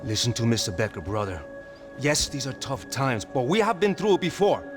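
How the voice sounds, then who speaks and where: A man playing a smooth-talking, consoling brother and politician in a video game. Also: medium pitch, big pitch swings, music in the background, natural voice. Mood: measured. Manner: measured, consoling. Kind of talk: cinematic video game acting.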